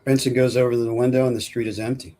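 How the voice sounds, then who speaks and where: A man's voice: mid-range voice, level tone, rapid-fire, mellow. A man narrating screenplay action lines at a film table read. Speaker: script narrator.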